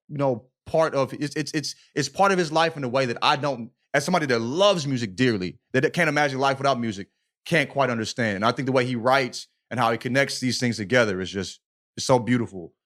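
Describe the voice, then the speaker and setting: A man's voice: medium pitch, fairly quick, fairly bright tone. A man co-hosting a podcast, chatting casually and earnestly about a book, with effusive, loose, profane phrasing. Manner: profane, repeats "you know what I'm saying". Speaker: podcast co-host. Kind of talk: casual book club chat.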